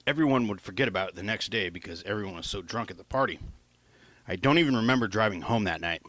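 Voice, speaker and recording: A man's voice: on the low side, fairly bright tone, dry recording. A man reading his own first-person novel aloud in a plain, informal amateur narration style.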